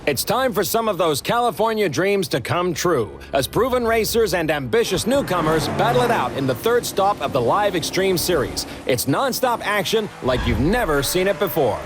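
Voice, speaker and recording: An actor playing an excitable TV announcer of a racing series, hyping it up in showy broadcast commentary. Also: male voice, sits high, moderate pace, few pauses, noisy background. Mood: hyped. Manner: showy, hype-building. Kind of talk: hyped sports broadcast commentary.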